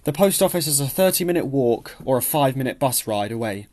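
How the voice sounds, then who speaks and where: A man's voice: medium pitch, lively intonation, quick pace, a little mellow. A man narrating a literary novel as an audiobook, reading expressively and acting out the dialogue.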